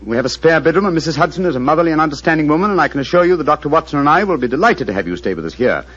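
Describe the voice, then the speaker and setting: An actor playing a brilliant, cool-headed detective in a radio drama, speaking in a crisp, precise, authoritative manner. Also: male voice, on the high side, animated, racing, fairly bright tone, dry recording. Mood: calm, explaining. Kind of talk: 1940s radio acting. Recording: dull old radio broadcast.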